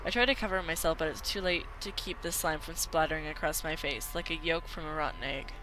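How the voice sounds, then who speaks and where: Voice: mid-range voice, a little fast, noisy background. An amateur video game player reading a visual novel aloud dramatically, sometimes stumbling and repeating words.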